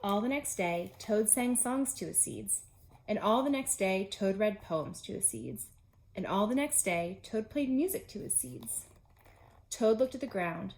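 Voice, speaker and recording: Woman, preschool teacher, storybook narration, medium pitch, simple child-directed phrasing. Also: lively intonation, a little slow, gravelly, a little mellow, low-quality recording. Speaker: pre-K teacher reading aloud.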